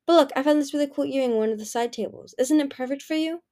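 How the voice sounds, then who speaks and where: A woman's voice: on the high side, a little fast, natural voice. A woman voicing a spoiled, wheedling young female dragon princess in a storybook reading. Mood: sugary. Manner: bright, wheedling and whiny.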